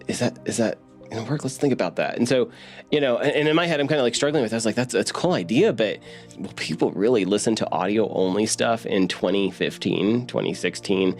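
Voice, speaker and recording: A man casually recalling past work in an interview, acting out bits of remembered conversation in an animated way. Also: fairly low pitch, fast talker, soft background music. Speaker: media producer.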